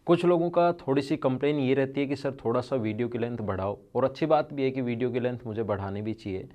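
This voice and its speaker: A man's voice: mid-range voice, fast, highs cut off. A male maths teacher with a strained throat, speaking earnestly and directly to his students in an online video lesson.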